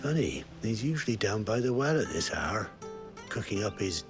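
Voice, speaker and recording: A man's voice: lowish voice, very animated, normal speed, over music. A man playing a chatty, wry fantasy villager in a video game, speaking colloquially with a British accent. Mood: wry, amused. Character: folksy, chatty middle-aged village man in fantasy realm. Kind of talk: video game cutscene acting.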